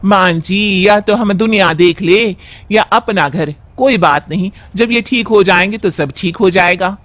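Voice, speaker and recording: A man's voice: high-pitched, measured pace, fairly bright tone, a little hiss or hum. A man voicing a determined young village daughter-in-law, earnest, respectful and dutiful. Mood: reassuring.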